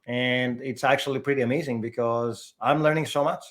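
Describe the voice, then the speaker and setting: A man's voice: medium pitch, steady tone, fairly quick, a bit bright. A middle-aged man from Greece, chatting animatedly as a guest on a developer livestream. He restarts his sentences often while he talks. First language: Greek. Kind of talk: casual chat on a livestream.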